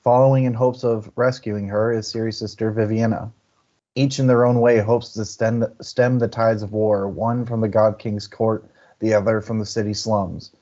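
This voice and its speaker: Male podcast co-host, somewhat low-pitched, informal book-club delivery, mildly lo-fi sound. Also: flat delivery, normal speed, dark tone. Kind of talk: casual book-chat livestream hosting.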